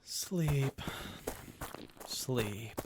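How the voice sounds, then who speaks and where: A performer playing an eager boy tinkerer with a casual, excitable manner in an audio drama. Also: medium pitch, very slow. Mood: exhausted. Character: eager, nimble-tongued young male tech kid. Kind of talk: post-apocalyptic audio drama acting. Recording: noisy recording with clicks.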